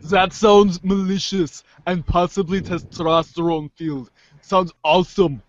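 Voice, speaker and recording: Man playing a high-pitched sardonic teenage girl. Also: fairly even intonation, unhurried, dry recording, muffled recording. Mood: dry. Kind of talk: amateur reader's theater of a webcomic.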